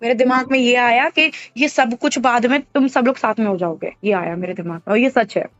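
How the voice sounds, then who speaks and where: A woman's voice: fairly high pitch, brisk, no room sound. A woman in an emotional, casual phone conversation, aggrieved and insistent, often repeating her points. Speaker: caller in a private phone conversation. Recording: dull lo-fi phone call recording, music behind.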